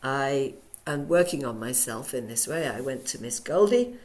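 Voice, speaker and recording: A middle-aged woman with a croaky, hoarse voice from a cold, teaching an online workshop over a video call in a chatty way full of ums. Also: extremely low pitch, animated, normal speed, a little mellow. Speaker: Alexander Technique teacher.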